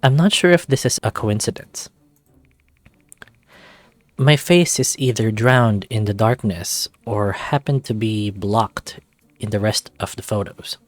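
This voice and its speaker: A man's voice: on the low side, a little slow, no room sound. A man reading a visual novel aloud as narrator. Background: music.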